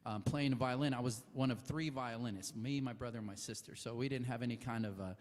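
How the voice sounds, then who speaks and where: A man's voice: medium pitch, quick pace, a little mellow, in a large hall. A man from Texas, a musician, talking casually and telling stories before he plays. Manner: friendly, rambling storytelling with fillers. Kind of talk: casual stage talk before a performance.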